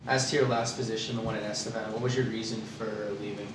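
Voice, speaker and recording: A man's voice: fairly low pitch, level tone, fast. A young man playing an interviewer in a class role-play job interview, reading out questions plainly. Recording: echoey room, far from the mic, noisy.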